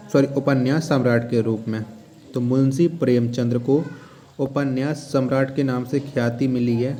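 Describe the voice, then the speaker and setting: Mellow-voiced man teaching. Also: mid-range voice. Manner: instructional, repeats questions and key words. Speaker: exam coaching teacher. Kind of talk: question-and-answer lesson narration.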